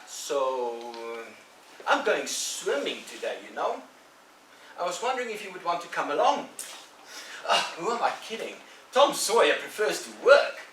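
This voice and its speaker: A man's voice: on the high side, big pitch swings, a little slow, distant mic, a little hiss or hum, voice pushed up. A man voicing a mocking boy bully a couple of years older than the hero, in an echoey room away from the mic. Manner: mocking, wheedling, loud.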